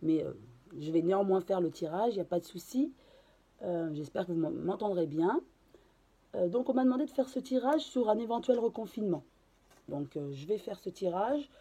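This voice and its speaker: A woman with a hoarse voice from a cold, giving a casual card reading and channeling, with many hesitations and restarts. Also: medium pitch, lively intonation, normal speed, mellow. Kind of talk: casual tarot reading to camera.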